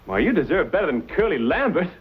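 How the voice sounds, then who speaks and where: An actor playing a brash, good-natured young frontiersman with a folksy manner that turns halting when he is flustered, in an old-time western drama. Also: a little high, very animated, breathy edge, muffled recording. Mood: flustered, earnest.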